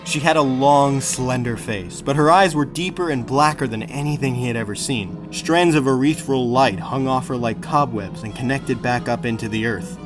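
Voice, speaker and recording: A man narrates an adventure audiobook in a mid-pitched voice. His delivery is scripted and dramatic, with clear music running behind him. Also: moderate pace, hardly any pauses.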